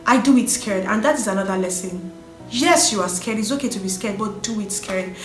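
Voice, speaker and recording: A woman telling her own life story in an earnest, animated way. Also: medium pitch, fairly quick. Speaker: vlogger sharing her story. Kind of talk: personal storytelling. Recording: echoey room with faint music.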